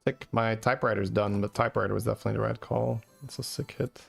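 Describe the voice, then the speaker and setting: Gravelly Flemish-accented streamer. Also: male voice, on the low side, steady tone, fast. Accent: Flemish Belgian. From Belgium. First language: Dutch. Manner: thinks aloud, tags plans with "right?". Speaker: game streamer. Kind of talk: live gameplay commentary. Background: faint game music.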